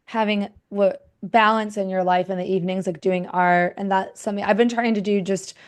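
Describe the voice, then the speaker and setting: A woman hosts a relaxed, conversational interview at a medium pitch. She talks informally, thinking aloud as she builds toward her questions. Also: normal speed. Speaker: podcast host interviewing a guest.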